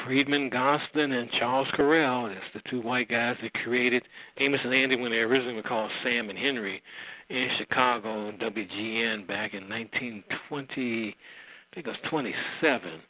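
A man's voice: medium pitch, normal speed, no echo. A man presenting old radio shows with casual, off-the-cuff commentary. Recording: very narrow, phone-like sound.

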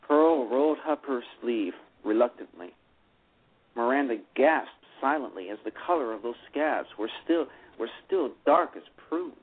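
A man narrating a radio play, reading haltingly with frequent restarts. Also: mid-range voice, lively intonation, slightly rough. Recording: tinny phone-line radio broadcast.

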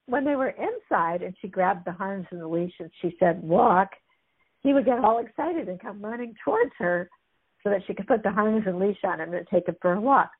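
A woman chattily retelling stories as a guest, amused, with many fillers. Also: mid-range voice. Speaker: guest discussing a book. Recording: tinny phone-like line.

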